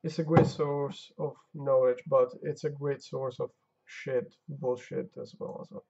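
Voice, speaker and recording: A tired game-dev streamer, his Albanian, drifts between mumbling over his code and chatting with viewers. His voice is gravelly and unhurried. The stream audio is dull and lo-fi. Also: medium pitch, fairly even intonation, darkish tone. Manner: muttering to himself while testing, talking to chat. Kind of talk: casual live-stream chat while coding.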